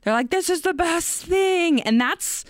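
A woman's voice: very high-pitched, lively intonation, a little slow, no echo, studio quality. A woman interviewer talking conversationally and informally, slipping short quotes from other people into her speech. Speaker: interviewer, social media coordinator.

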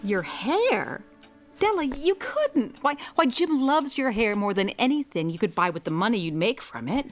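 An actress playing a kindly, practical neighbor in a radio drama, soothing and gently reasoning with a worried friend. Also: female voice, a little high, big pitch swings, normal speed, faint music behind. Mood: shocked, dismayed. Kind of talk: stage-style radio drama acting.

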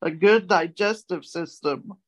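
A woman's voice: fairly low pitch, unhurried. A woman co-hosting a casual word quiz, chatting with the others. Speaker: co-host presenting a word segment. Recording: dull lo-fi sound.

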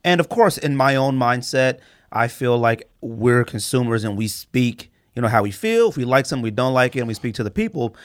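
A man's voice: medium pitch, lively intonation, fairly quick, dry recording, clean studio sound. A man from Arizona hosting a podcast, chatting casually in a rambling, conversational way as he interviews. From United States, Arizona. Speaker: podcast host.

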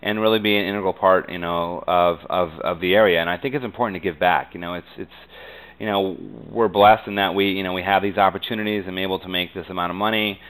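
An American man giving conversational interview answers as a business guest, earnest and halting, often saying "you know.". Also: low-pitched, steady tone, a bit bright, clean tone, poor audio.

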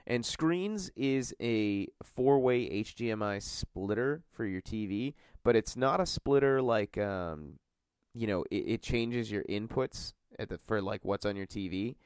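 A man's voice: on the low side, expressive, measured pace, darkish tone, clear, resonant tone, no echo, studio quality. A man hosting a podcast, congested from a cold, chatting casually in a rambling, filler-heavy way. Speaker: podcast host.

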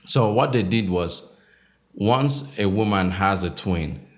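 Deep voiced nigerian-born novelist guy in his 30s, slow thoughtful answers, lots of uhs. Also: animated. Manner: measured, with many fillers and restarts. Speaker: novelist guest.

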